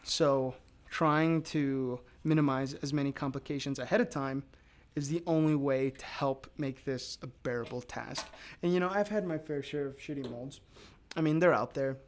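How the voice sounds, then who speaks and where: A man with a raspy, low voice from allergies, talking casually and rambling to viewers as he demonstrates mold casting. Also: normal speed. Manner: rambling, with many fillers and restarts. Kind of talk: casual livestream talk to viewers.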